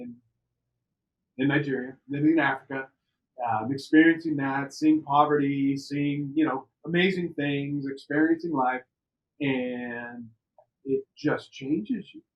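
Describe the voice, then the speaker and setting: A middle-aged man from the United States telling personal stories on a podcast in an animated, conversational way, repeating words for emphasis. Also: mid-range voice, takes it slowly, lo-fi sound, a little hiss or hum. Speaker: podcast interview participant. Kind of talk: personal storytelling.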